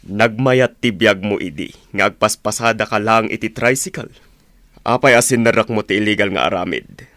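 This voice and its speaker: Actor as stern Filipino police officer. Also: male voice, lowish voice, moderate pace, fairly bright tone, no echo, clean studio sound, natural voice. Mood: stern, reproachful. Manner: firm, reproachful. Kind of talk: radio drama acting.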